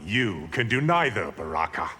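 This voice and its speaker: Arrogant, cavernous-sounding evil sorcerer. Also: male voice, fairly low pitch, very animated, moderate pace, sharp tone, faint music behind. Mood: contemptuous. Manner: haughty, theatrical, menacing. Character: arrogant, scheming evil male sorcerer, cold and contemptuous. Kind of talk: video game villain dialogue.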